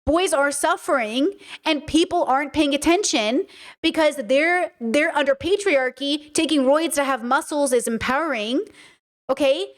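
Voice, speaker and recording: High-voiced Californian woman giving commentary. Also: moderate pace. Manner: emphatic, repeats words while thinking, addresses viewers directly. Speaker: commentary video host. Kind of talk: opinionated commentary monologue.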